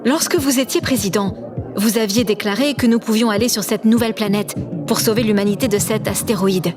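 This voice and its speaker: A woman's voice: medium pitch, brisk, over music, natural voice. A woman playing a stern, sardonic investigator in an audio drama, restrained and probing. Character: stern, sardonic female investigator, quietly worried.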